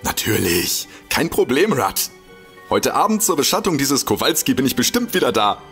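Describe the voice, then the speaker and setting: Swoopy-voiced, flustered fanboy detective. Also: male voice, medium pitch, very animated, normal speed, creaky, a bit bright, faint music behind. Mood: awkward, flustered. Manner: stammering, gushing, giggly, easily flustered. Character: excitable, smitten, bumbling male detective fan. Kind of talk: comedic German audio drama.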